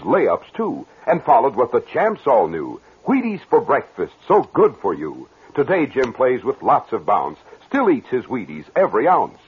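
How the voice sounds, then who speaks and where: A man's voice: medium pitch, husky. A man delivering a punchy, rhythmic radio advertisement in mid-century style. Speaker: commercial pitchman. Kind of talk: rhyming 1940s-50s radio advertisement. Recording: muffled old radio commercial.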